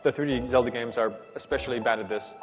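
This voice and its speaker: Halting man in a reverberant space. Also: medium pitch, fairly even intonation, faint music behind. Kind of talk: casual off-the-cuff commentary.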